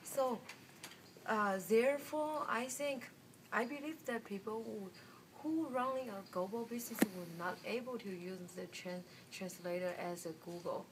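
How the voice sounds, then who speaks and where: A young woman from China with a Chinese accent, giving a class seminar presentation in a halting way with frequent restarts. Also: mid-range voice. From Guiyang, Guizhou, China. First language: Mandarin Chinese. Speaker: student presenter. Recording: distant mic with room noise.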